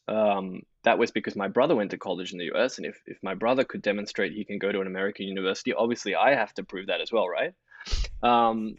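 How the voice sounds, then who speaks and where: A low-pitched Australian man in his thirties, speaking candidly with easy laughs and self-deprecating jokes. The sound is dull and lo-fi, with a dry, close feel. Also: no echo. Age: 30s. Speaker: podcast guest, investor. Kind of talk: casual conversational interview.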